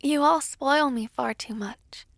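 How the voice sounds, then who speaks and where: Woman playing a slow, high-voiced gentle princess. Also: creaky, no room sound, heavily acted. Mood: gently anxious. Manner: soft-spoken, hesitant, polite, formal diction. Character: gentle, modest young pregnant princess, formerly a maid. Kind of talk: audio roleplay acting.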